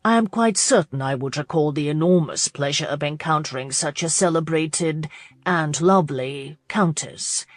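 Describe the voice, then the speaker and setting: A woman's voice: sits low, measured pace, darkish tone, no echo. A woman voicing a suave, amused male duke, polished and courteous, in an audiobook romance. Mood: smooth, amused. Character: suave, amused aristocratic duke, arrogant charmer.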